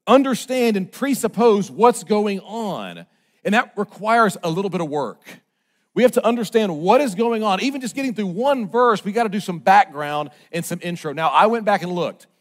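A man preaching a conversational sermon, chatty and self-mocking, checking in with the congregation as his voice swings from loud and emphatic to low and soft. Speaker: pastor.

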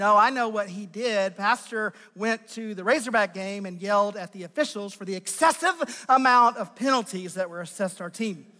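An American man preaching a conversational teaching sermon as a pastor, with a raspy, strained voice and deliberate, emphatic phrasing. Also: sits high, bright, forceful tone. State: strained voice after losing it. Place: large church sanctuary. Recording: long hall reverb from sound system.

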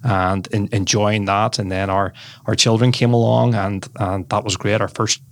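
Low-voiced Belfast man in his forties. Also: level tone, moderate pace. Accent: Northern Irish, Belfast. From Northern Ireland. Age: 40s. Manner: warm, chatty, addresses host by name often. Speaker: guest, former lawyer. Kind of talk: conversational life story.

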